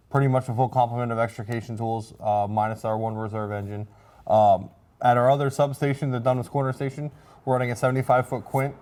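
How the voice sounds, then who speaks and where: A man's voice: mid-range voice, warm tone. A plain-spoken man, a fire officer explaining casually in an interview.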